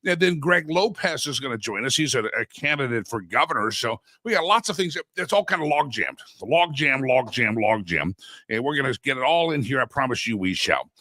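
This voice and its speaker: Folksy male talk host, congested from a cold, bright and crisp, dry studio sound. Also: medium pitch, expressive, a little fast, no echo. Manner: folksy, chatty, many restarts and asides. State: stuffy head cold, voice a bit sore. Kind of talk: casual political talk show monologue. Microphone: studio microphone.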